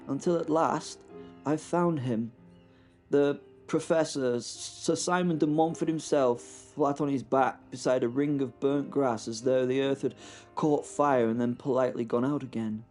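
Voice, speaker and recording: A man's voice: medium pitch, a little mellow. British male narrator, dry wit, stumbling restarts, faint music bed. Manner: wry, halting narration with frequent repeats. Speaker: podcast host and narrator. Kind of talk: first-person horror audio drama narration.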